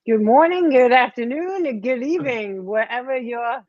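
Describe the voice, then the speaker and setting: A middle-aged woman from Staten Island with a New York accent, giving unhurried interview answers over a video call that drift into fillers and restarts. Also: fairly high pitch, darkish tone, dry recording. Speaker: guest. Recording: dry, dull, lo-fi sound.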